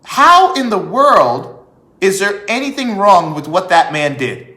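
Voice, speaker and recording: A man's voice: high-pitched, sing-song, measured pace, bright, forceful tone. A man delivering an opinionated political monologue as a commentator, emphatic, repeating phrases and asking rhetorical questions. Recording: echoey room.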